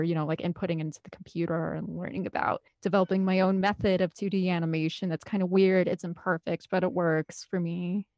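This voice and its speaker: American woman, 30s, mid pitch, hyper and enthusiastic casual interviewee, rambles. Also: darkish tone, no echo, studio quality. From American Midwest. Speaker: guest, film composer and director.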